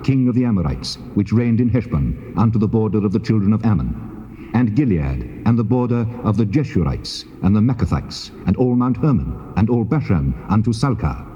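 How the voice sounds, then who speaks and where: Solemn, commanding God played by a man for Bible drama: mellow, measured declamation, long reverb on muffled tape. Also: lowish voice, lightly acted. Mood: stately, solemn. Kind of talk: dramatized scripture reading.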